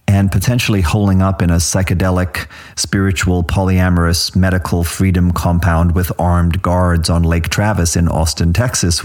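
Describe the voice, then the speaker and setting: A man hosting a podcast, delivering scripted commentary in a measured, articulate and slightly wry way. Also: low voice, darkish tone. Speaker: podcast host.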